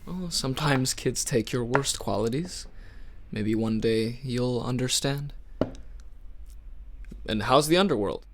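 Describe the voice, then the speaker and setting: Radio drama male actor for smug god: very unhurried, mid-pitched, needling and probing, faint noise behind. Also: lightly acted. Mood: smug, teasing. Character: Zeus, smug, needling male god, teasing older brother. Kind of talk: radio play acting.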